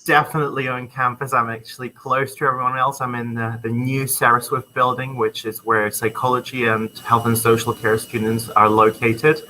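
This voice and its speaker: A man's voice: medium pitch, level tone, moderate pace, few pauses, sharp tone, a little hiss or hum, low-quality recording. A young man from the Czech Republic with a Czech accent, a student guest talking enthusiastically in a casual interview, with plenty of ums. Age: young adult. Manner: friendly, enthusiastic, many ums. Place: university computer lab.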